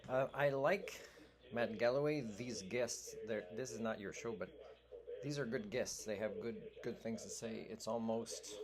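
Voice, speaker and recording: A man's voice: medium pitch, measured pace, a crowd in the background. A man whose first language is French, talking in a rambling, earnest vlog monologue full of fillers and restarts. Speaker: vlogger. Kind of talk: rambling monologue addressed to a radio host.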